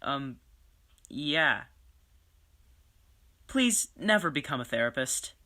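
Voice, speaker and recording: A woman playing a tired young Slight in hiding who speaks softly and tentatively, often sighing. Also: a bass voice, expressive, takes it slowly, heavily acted. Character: weary young Slight on the run. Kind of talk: amateur audio drama acting.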